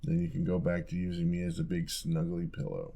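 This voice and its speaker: A young man playing an affectionate, playful roommate in an intimate audio roleplay, talking in a soft, casual, teasing way. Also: deep voice, flat delivery, brisk, creaky. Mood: gentle, caring.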